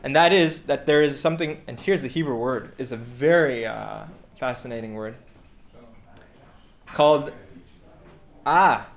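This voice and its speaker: A man's voice: mid-range voice, measured pace, vocal fry, long pauses. A man teaching a class, explaining points at length with frequent restarts and fillers. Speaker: teacher of Torah law. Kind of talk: classroom lecture.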